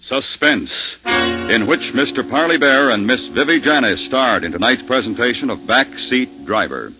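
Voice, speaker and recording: An American man announcing in formal, measured, scripted 1950s radio style. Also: mid-range voice, animated. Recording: muffled vintage radio, loud music.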